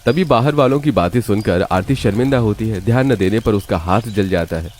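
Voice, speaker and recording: Male narrator for animated family tales, low register, scripted storytelling delivery. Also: a little fast, faint music behind.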